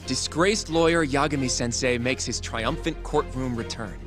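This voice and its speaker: A man's voice: medium pitch, moderate pace, music in the background, close to an everyday voice. A man voicing an eager, earnest, easily flustered young junior lawyer in an English game dub. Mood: excited. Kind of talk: dubbed video game cutscene acting.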